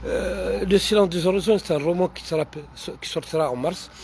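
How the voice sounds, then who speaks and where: A man's voice: high voice, gravelly, darkish tone. Man, Mauritanian accent, non-native French, hesitant, many fillers, faint traffic hiss behind. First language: not French. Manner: halting, many fillers and repeated restarts. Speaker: writer answering audience questions. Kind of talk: unscripted answers to online questions.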